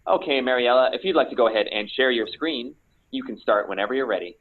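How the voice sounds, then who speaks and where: A man's voice: mid-range voice, quick pace, slightly rough, phone-quality sound. A man hosting a webinar, making friendly, orderly introductions from a script. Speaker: webinar host. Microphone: video call.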